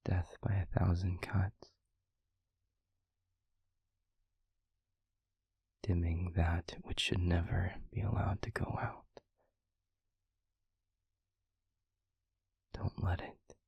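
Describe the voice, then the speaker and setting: A man playing a tender, introspective demon in an intimate audio roleplay, speaking haltingly in a breathy, husky half-whisper. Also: low voice, monotone, darkish tone, no echo, heavily acted. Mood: tender, earnest. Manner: slow, halting, reflective delivery. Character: introspective, tender male demon, once arrogant, now gently regretful.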